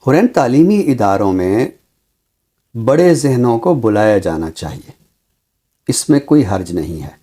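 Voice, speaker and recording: A man speaking as a teacher, explaining his views deliberately with emphatic repetition. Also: mid-range voice, big pitch swings, warm tone. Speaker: Urdu teacher, programme guest. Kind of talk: considered discussion, explanatory.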